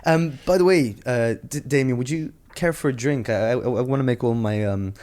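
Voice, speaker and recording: Male podcast host, relaxed and joking, mid-range voice, stumbles over his words. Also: medium pitch, moderate pace. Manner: chatty, jokey, with fillers and restarts. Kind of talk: casual interview chat.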